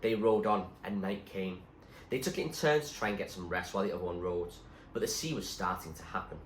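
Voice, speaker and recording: A man reading a story aloud in an expressive, suspenseful narrating style. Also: low voice, brisk. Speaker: reader, teacher. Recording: echoey room with faint background noise.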